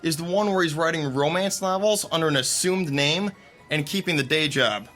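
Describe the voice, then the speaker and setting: An actor playing an easygoing young theater technician telling backstage anecdotes in conversational audio drama dialogue. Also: male voice, a little high, normal speed, fairly bright tone, soft background music, lightly acted. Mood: amused storytelling.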